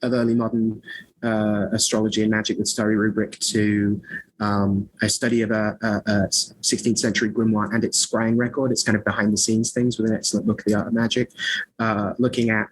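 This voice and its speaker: A man's voice: lowish voice, little pitch movement, a little fast, low-quality recording. A man being interviewed as an author and scholar, talking in a rambling way with lots of fillers, restarts and self-mocking asides. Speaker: author and scholar of magic, podcast guest. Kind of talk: conversational interview.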